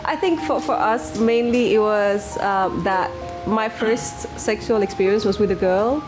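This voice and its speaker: A woman in her late twenties, from the Dominican Republic and raised in Belgium, talks as a guest on a chat show. She rambles through her thoughts with plenty of fillers and laughs easily. Her voice sits at a middle pitch, with music playing behind her. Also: measured pace. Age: late 20s. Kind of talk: casual talk show chat.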